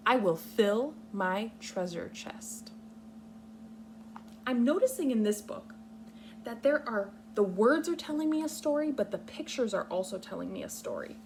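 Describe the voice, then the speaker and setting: A woman leading a read-aloud lesson for young children, speaking patiently in simple, deliberate, instructional phrases. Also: fairly high pitch, animated, darkish tone, slight background noise. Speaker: kindergarten teacher reading a picture book aloud.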